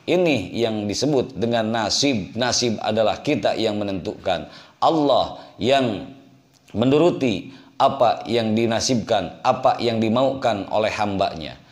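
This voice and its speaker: A man's voice: mid-range voice, darkish tone. A man from West Java preaches to a congregation as an Islamic teacher, explaining didactically with repeated phrases and rhetorical questions. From West Java, Indonesia. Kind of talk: religious sermon to a congregation.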